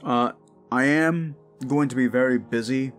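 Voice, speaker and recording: A man's voice: mid-range voice, a little slow, faint music behind. A man giving an informal, rambling outro to his listeners as the creator of an audio drama. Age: adult with young child. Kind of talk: casual outro chat to listeners.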